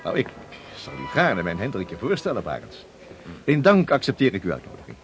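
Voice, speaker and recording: An actor playing a polite, eager young sailor about to marry, in a period radio drama, with faint street noise. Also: male voice, lowish voice, huge pitch swings, a little fast, soft background music.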